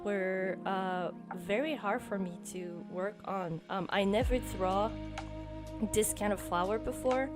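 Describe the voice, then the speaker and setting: A young French Canadian woman talks through her bullet journal drawing in a loose, chatty voice-over, filling pauses with ums and uhs. Her pitch sits at a middling level for a woman. Clear music plays underneath her. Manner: relaxed, rambling, many ums and uhs. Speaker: journaling YouTuber. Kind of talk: voice-over narration of a drawing session. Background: background music.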